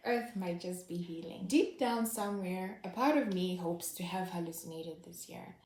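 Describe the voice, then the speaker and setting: A woman's voice: fairly low pitch, unhurried. Sardonic woman in an echoey room. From Zimbabwe. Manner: sardonic, wry. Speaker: host. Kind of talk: scripted satirical commentary.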